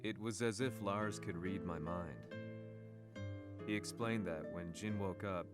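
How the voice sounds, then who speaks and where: Vengeful journalist, deep voice, solemn measured narration, game cutscene, loud guitar backing. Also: male voice. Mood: tense, reflective. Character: brooding, vengeful male journalist recounting his story. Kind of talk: scripted dramatic first-person narration. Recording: loud guitar music behind.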